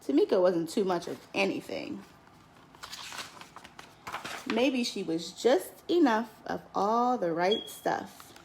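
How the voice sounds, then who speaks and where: A woman teacher reads a children's picture book aloud, lifting her voice on key words in a lilting, expressive way. There is a slight gravelly fry in her voice. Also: mid-range voice, a little slow, a little hiss or hum, rough recording. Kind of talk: storybook read-aloud.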